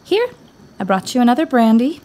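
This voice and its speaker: An actress playing a sensible, caring female companion to a scholar in an audio drama, expressive and gently chiding. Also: fairly high pitch, fairly quick. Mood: affectionate.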